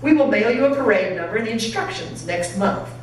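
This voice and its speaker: A brisk young office clerk, voiced by a woman in a storybook reading. She is matter-of-fact and businesslike. Her voice is distant in a very echoey hall. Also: fairly high pitch, expressive, slight background noise, close to an everyday voice.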